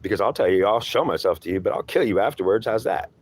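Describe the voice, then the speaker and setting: A man's voice: on the low side, expressive, fast, a little hiss or hum, close to an everyday voice. A man playing a taunting devil who claims to be God, lecturing in a scornful, goading, repetitive way. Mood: threatening, scornful.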